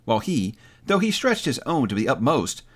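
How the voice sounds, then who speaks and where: A man narrating an audiobook in a dramatic, expressive and deliberate storytelling style. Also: medium pitch, slightly rough.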